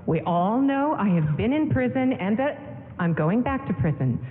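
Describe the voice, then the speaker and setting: An actress playing a gentle, wistful young woman in 1940s radio drama style, earnest and polite. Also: a little high, very animated, moderate pace. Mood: frank, composed. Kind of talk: 1940s radio drama acting, live on stage. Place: large hall stage, live radio broadcast. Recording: tinny, noisy broadcast from echoing hall.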